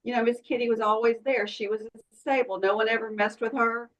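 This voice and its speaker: A woman's voice: a little high, steady tone, a little fast, poor audio. A woman taking part in a casual workshop discussion, talking in a rambling way and circling back to restate her thoughts. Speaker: workshop participant.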